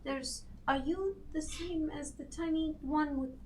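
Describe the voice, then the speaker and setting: A female tabletop player with a high voice who speaks slowly, her questions polite, curious and drawn out. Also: slight background noise.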